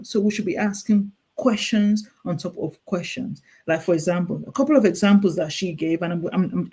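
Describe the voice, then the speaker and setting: A woman's voice: lowish voice, dark tone. A woman with a Nigerian accent, a clinical nurse specialist speaking as a guest, explaining earnestly and often restarting her sentences. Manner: earnest, urging, many restarts and repetitions. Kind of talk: earnest explanatory interview talk.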